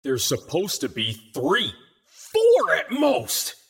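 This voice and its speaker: A woman voicing a menacing, angry young man who commands in an unearthly, hissing low growl. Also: lively intonation, moderate pace, husky, heavily acted. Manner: menacing, forceful.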